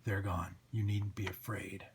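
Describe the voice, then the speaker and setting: A man voicing a grim, threatening undead comic-book vigilante in a whispery growl, with slow, clipped delivery. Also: low-pitched, level tone, noisy background, voice pushed down. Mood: grave.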